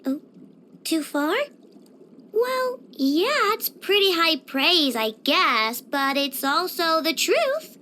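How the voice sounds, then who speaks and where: A woman's voice: very high-pitched, very animated, slow pace, pushed and bright, a little hiss or hum, exaggerated voice. A woman voicing a cheerful, proud young girl inventor in a video game, with a bubbly, boastful, childlike delivery. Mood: chatty, indignant. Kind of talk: scripted video game character voice lines.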